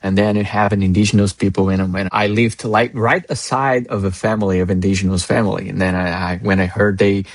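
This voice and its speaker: A man's voice: fairly low pitch, fairly even intonation, fairly quick, few pauses, no echo. A man from northern Brazil with a Brazilian Portuguese accent, a native Portuguese speaker talking as an interview guest in a rambling way, with many restarts and fillers. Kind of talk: casual interview chat.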